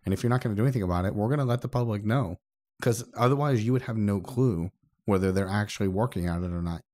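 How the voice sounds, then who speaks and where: A man's voice: on the low side, fast, dark tone. A man co-hosting a podcast, discussing tech casually in a conversational, explanatory way. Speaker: podcast co-host.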